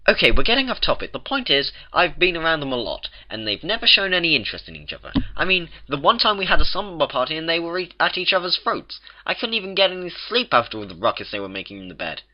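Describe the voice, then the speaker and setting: A fussy, anxious unicorn mare, put on by a male reader in a lifted, strained voice. She fires off objections quickly and indignantly. The home recording sounds muffled and lo-fi. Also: fairly high pitch, very animated, voice pushed up. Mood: exasperated. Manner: exasperated, rapid objecting.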